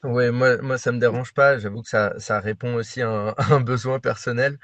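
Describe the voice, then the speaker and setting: A man talking as a guest on a panel about working in sport, animated and full of hesitations. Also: medium pitch, brisk, no echo. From France and Brazil. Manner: animated, hesitant with many fillers. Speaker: guest, sports club employee. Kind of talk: panel discussion answers.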